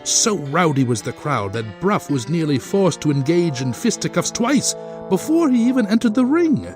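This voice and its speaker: A man's voice: fairly high pitch, sing-song, moderate pace, music in the background. A man narrating a comedy audio drama with wry, sardonic asides.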